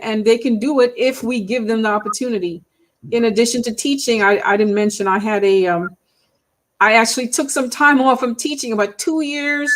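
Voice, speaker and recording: A woman from Trinidad with a Trinidadian accent, speaking earnestly as an interview guest and often repeating words as she restarts. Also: mid-range voice. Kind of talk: conversational interview.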